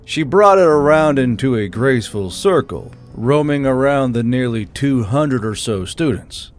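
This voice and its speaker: A man's voice: mid-range voice, animated, a little slow. A man reading scripted fantasy story narration as the narrator. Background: background music.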